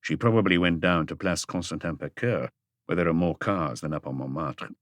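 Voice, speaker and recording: A man's voice: sits low, normal speed, dry recording. A man reading a mystery novel aloud as an audiobook narrator, in a scripted literary style.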